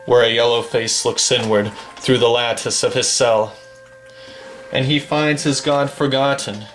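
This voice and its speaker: A man reciting verse in an unhurried, declamatory way, his voice of middling pitch rising on the refrains. Faint music plays behind him. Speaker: poetry reader and gamer. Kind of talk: poem recited from memory over gameplay.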